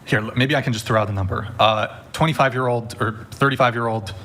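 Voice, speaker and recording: Male podcast host in his thirties, quick and blunt, casual panel chat. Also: mid-range voice, steady tone, bright, forceful tone, a little hiss or hum. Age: 30s. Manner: blunt, provocative, says "bro".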